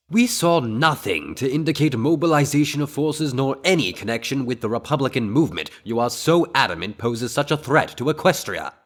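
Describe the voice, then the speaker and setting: A man playing a dignified senior royal chamberlain pony, formal and reproving, in a fan-made radio drama. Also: medium pitch. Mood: exasperated. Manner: formal, measured diction, reproving.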